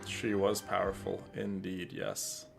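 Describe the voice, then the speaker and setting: A male game streamer with a fairly low voice chats casually through his gameplay. He explains in an informal, halting way, with game music clearly behind him. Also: flat delivery.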